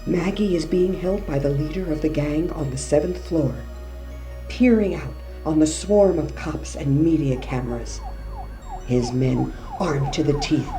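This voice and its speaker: A woman's voice: low voice, measured pace, dark tone, faint music behind. A woman reading scripted narration for an audio drama.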